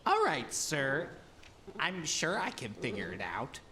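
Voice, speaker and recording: A woman's voice: sits low, wildly swooping pitch, slow, crowd noise, exaggerated voice. A woman playing a plucky, slightly cocky young servant lad in a radio play, easygoing and innocent-sounding. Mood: breezy confidence.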